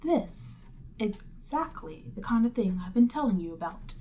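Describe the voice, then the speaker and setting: A woman voicing a proud, seductive demon queen in a scripted ASMR roleplay, haughty and measured, lapsing into breathy near-whispers. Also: medium pitch, lively intonation, warm tone, close to an everyday voice. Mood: imperious, needling.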